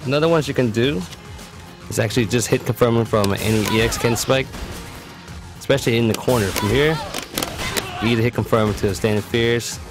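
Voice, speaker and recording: A man's voice: medium pitch, fairly quick, long pauses. A man giving explanatory video game tutorial commentary in a casual, matter-of-fact way. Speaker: competitive gamer presenting a character guide. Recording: voice-over with music behind. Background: game music.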